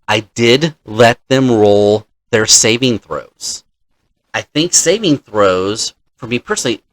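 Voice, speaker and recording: A man's voice: medium pitch, a bit bright, no room sound. A man hosting as a dungeon master, telling the story of a game session in an explanatory way and acting out players' lines.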